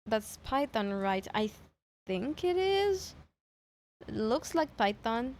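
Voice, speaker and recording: A woman's voice: on the high side, sing-song, slow pace, a little mellow. A woman chatting casually on a livestream, hesitant and thinking aloud as she repeats herself. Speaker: streamer reacting to horror videos.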